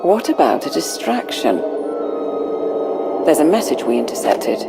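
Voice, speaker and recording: An actress playing a cool, scheming aide in a video game, speaking in a calm, businesslike way. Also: female voice, deep voice, a little slow, vocal fry, loud music behind the voice, exaggerated voice. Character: cool, scheming female aide to a dark lord. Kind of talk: scripted video game dialogue.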